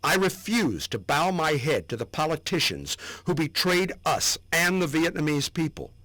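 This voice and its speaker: A man's voice: mid-range voice, fairly bright tone, no room sound, full character voice. A man giving a dramatic reading as a proud war veteran looking back on his service. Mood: defiant. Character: proud, remembering male Vietnam war veteran. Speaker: reader.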